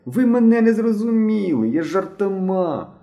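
A man voicing an excitable, cheeky young boy in an audiobook, defensive and easily flustered. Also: on the low side, moderate pace, muffled recording. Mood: flustered whining. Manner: boastful, defiant, turning whiny when cornered.

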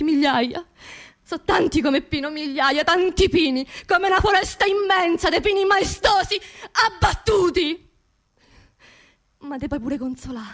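An actress performs a working-class woman with a high voice and a strong Roman accent. She is anxious and grieving, raw and plain-spoken. She confides in the listener as in a radio theatre monologue, repeating her words with insistence. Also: fairly bright tone. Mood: bitter, grieving.

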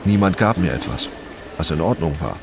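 A man's voice: low-pitched, lively intonation, brisk, vocal fry, background noise. A man playing a detached, brooding narrator who tells his own story in a matter-of-fact literary radio drama style. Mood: detached, intent. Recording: music bed behind the voice.

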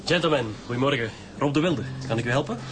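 An actor playing a smooth-talking advertising boss questioned by police in a radio drama, with a Flemish accent. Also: male voice, mid-range voice, fast talker, music in the background, lightly acted. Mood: polite, assured. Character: smooth advertising boss pleading innocence to detectives. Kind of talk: naturalistic radio drama acting.

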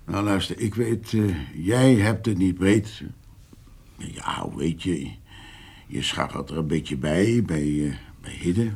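Radio drama role: persuasive older farmer slowing down, creaky low voice, hearty, hesitant, wheedling. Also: male voice, lively intonation, a little mellow, heavily acted. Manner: hesitant, wheedling, full of small interjections. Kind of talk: naturalistic radio drama dialogue.

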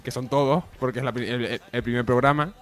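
A man's voice: medium pitch, steady tone, fast. A man hosting a comedy radio show with mock-pompous, declamatory presenting and banter.

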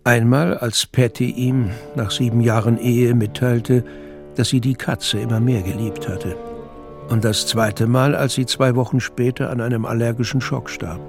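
A man narrates an audio drama with dry, measured delivery. His voice sits at a middling pitch, and soft music plays underneath. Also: fairly even intonation.

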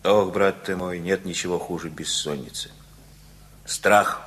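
An aging father in a radio play, once gruff and now softened. His voice is on the low side and he takes his time, very unhurried. He muses in homely, repetitive phrases with a wistful air. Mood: musing, weary. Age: older. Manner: folksy, rambling, reflective, repeats phrases.

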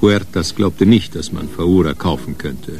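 A man's voice: low voice, measured pace, vocal fry, a little mellow. A man narrating a radio crime drama in a scripted, literary style.

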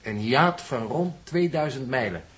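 An actor playing a polite, bookish space scientist in a 1960s Dutch radio play, explaining things in a formal, exact way with a Netherlands accent. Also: male voice, medium pitch, animated, unhurried, a bit bright. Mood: calm, instructive. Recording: 1960s radio drama recording.

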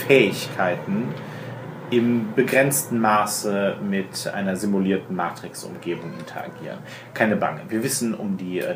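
A man voicing a calm, formal announcer who briefs test subjects slowly and politely in a tabletop roleplaying game. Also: low-pitched, pitched below the performer's own voice, natural voice. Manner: slow, measured, polite, official. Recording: roomy echo with clear noise.

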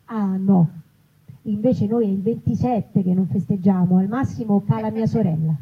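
A woman's voice: medium pitch, normal speed, breathy edge, pitched below the performer's own voice. Muddled, literal old lady, acted by a woman. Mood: puzzled. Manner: puzzled, obtuse. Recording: dull, noisy live event recording.

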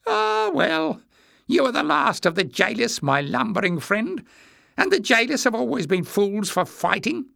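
A man's voice: very high voice, big pitch swings, measured pace, vocal fry, few pauses, a bit bright, dry recording, clean studio sound, voice pushed up, exaggerated voice. A man voicing a small, malicious former acrobat in a story, sly and jeering with mock courtesy. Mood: scornful. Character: malicious little man, former palace acrobat, mocking and spiteful.

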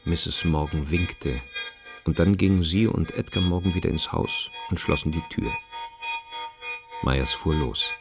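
A man narrating a short story in a radio play, in a sober, measured and restrained way. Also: rumbling low, vocal fry, music in the background, heavily acted.

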